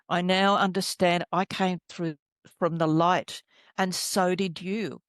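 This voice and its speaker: A middle-aged woman, a healer guest, telling her story in a soft, rambling way as she searches for her words. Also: fairly low pitch, clear, resonant tone, dry recording, studio quality. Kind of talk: reflective storytelling interview.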